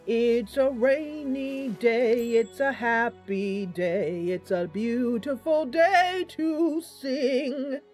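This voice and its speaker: A woman voicing an earnest female bard adventurer in a fantasy game, with a theatrical, drawn-out delivery. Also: a little high, a little mellow, faint music behind, pitched above the performer's own voice. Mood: cheerful, singing.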